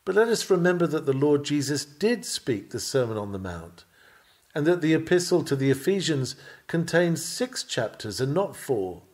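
A man with a somewhat high voice delivers a written sermon. He reads it earnestly, with formal, measured phrasing and firm emphasis on key words. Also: sing-song, darkish tone. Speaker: reader of a sermon text. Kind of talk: sermon read aloud from a written text.

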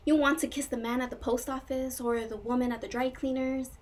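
A woman's voice: high-pitched, fairly even intonation, fairly quick, natural voice. A woman performing a stage monologue as a whimsical, melancholy dreamer, quirky, chatty and effusive as she confides. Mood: dreamy, gushing. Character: whimsical, dreamy, melancholy woman, swinging between joy and sadness.

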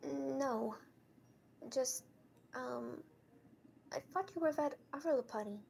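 Comic dub: shy young female snake critter, woman performer, high voice, hesitant delivery. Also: gravelly, a little mellow, a little hiss or hum, full character voice. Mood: timid. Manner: hesitant, halting.

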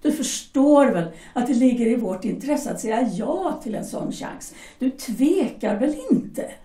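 Woman doing a coaxing young wife, higher voice, mellow tone, echoey room a bit off-mic. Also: lively intonation, far from the mic, lightly acted. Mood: persuasive. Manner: coaxing, insistent, brushes off doubts. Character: young German mother, pragmatic, persuasive wife. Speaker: shipyard worker's wife. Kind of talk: amateur audio drama acting.

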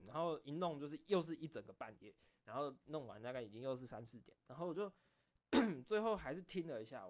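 A man telling personal stories in a casual streamer's chat, rambling and often repeating phrases for emphasis. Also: mid-range voice. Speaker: online streamer and game content creator. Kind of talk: casual late-night chat, personal storytelling.

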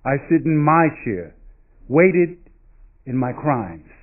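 A middle-aged man reading poetry from a script on stage, in measured, deliberate phrases with dramatic pauses. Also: a little high, sing-song, narrow, tinny audio. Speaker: reader at a literary event. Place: stage in an indoor auditorium. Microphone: stand microphone at a lectern.

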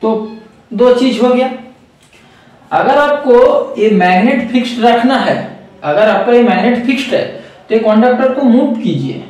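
A man's voice: very high-pitched, expressive, measured pace, a little mellow, heavy reverb, distant mic, poor audio. A man explaining a circuit step by step to viewers, repeating key points and calling them friends. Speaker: electronics trainer. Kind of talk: whiteboard circuit lesson.

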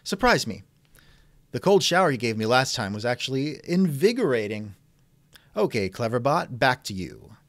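A man's voice: medium pitch, sing-song, moderate pace, a bit bright, no room sound. Dry, sardonic male podcast host. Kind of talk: scripted podcast interview reenactment.

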